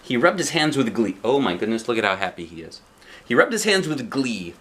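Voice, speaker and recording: A man reading a children's book aloud like a dad, with expressive narration aimed at young listeners. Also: medium pitch, a little fast. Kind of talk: animated children's storybook narration.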